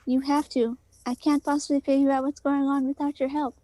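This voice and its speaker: A woman's voice: sits high, level tone, a little fast, dark tone, no room sound, natural voice. A woman playing an insistent, pleading girlfriend in a story read aloud, urgent and coaxing.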